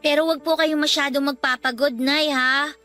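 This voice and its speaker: A woman voices a high-pitched, mature-minded young child in a radio drama. The child is polite and earnest, gently coaxing and full of care. Soft music runs behind the voice. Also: fairly even intonation, moderate pace. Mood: fond concern. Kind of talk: Tagalog radio drama acting. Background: background music.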